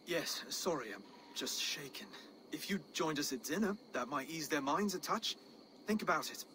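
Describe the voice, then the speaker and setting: Guy acting a polite soft saxon noble in a game, earnest, voice a bit high, noisy background. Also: expressive, normal speed, natural voice. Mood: courteous. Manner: courteous, earnest, soft-hearted. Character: earnest, mild-mannered Saxon nobleman and king-to-be. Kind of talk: video game cutscene acting.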